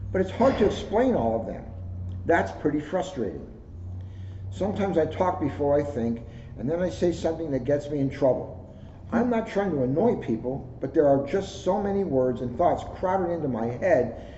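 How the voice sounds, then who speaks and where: Male read-aloud storyteller, soft-edged mellow tone, recorded in a roomy space with muffled, noisy lo-fi sound. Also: a little high. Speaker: police officer reading a children's book aloud. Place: indoors, second floor of a borough hall. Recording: roomy echo, dull lo-fi sound, clear noise.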